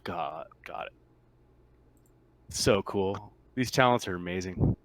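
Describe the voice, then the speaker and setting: A man's voice: deep voice, lively intonation, slow. A man acting as game master, chatting casually and narrating a tabletop game.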